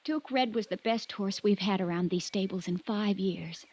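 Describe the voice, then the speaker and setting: An actress playing a moody, headstrong young daughter of a horse breeder in a 1950s radio drama, pert and capricious in manner. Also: female voice, mid-range voice, moderate pace, a little mellow, lightly acted. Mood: wistful. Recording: muffled vintage radio recording.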